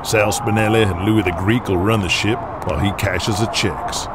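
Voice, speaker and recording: A man's voice: lowish voice, steady tone, normal speed, very noisy, lightly acted. A man playing a big, intimidating old wrestling boss, blunt and domineering, in an audio drama. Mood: dismissive.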